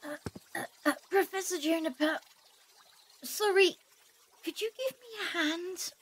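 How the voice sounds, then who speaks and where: A woman's voice: very high-pitched, measured pace, a bit bright, a little hiss or hum. A woman playing a flustered, hesitant female lab assistant in an audio drama. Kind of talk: fan audio drama acting.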